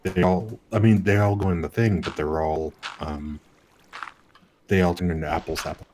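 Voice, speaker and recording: A man's voice: low voice, fairly even intonation, normal speed, darkish tone, slight background noise. A man in a game stream's voice chat, making dry, matter-of-fact remarks with a few fillers. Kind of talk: casual voice-chat banter.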